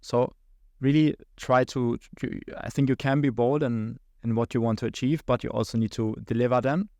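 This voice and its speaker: Man, German accent, young adult, gravelly, flat delivery, dry close sound. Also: mid-range voice, no echo. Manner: halting, many restarts and fillers, laughs between thoughts. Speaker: guest, account executive. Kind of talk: podcast interview.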